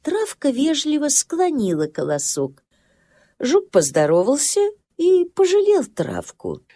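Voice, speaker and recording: A woman's voice: sits high, wildly swooping pitch, slow, warm tone. A woman narrating a children's storybook tale expressively.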